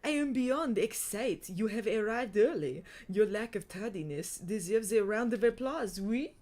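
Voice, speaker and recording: A woman's voice: medium pitch, expressive, a little slow, darkish tone. A woman voicing a gushing couture guru creature in a put-on French accent, theatrical and effusive. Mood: delighted, coy.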